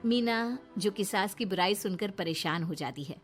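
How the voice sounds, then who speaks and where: A woman's voice: medium pitch, moderate pace, lightly acted. A woman giving scripted narration for a cartoon family story. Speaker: narrator, also voicing the daughter-in-law. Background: faint background music.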